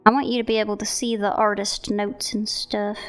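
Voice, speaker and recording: A woman's voice: mid-range voice, little pitch movement, brisk, clean tone, faint music behind. An American woman streamer chatting casually and playfully with viewers, putting on a Southern US twang. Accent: American, playing up a Southern US twang. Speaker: streamer showcasing viewer art. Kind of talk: casual livestream chat with viewers.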